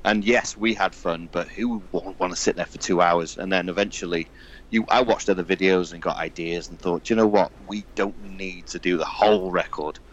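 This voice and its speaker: A man's voice: low voice, fairly even intonation, fairly quick, lo-fi sound. A man from Wales hosting a podcast, chatting casually and enthusiastically with a guest. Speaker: podcast host. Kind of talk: casual chat.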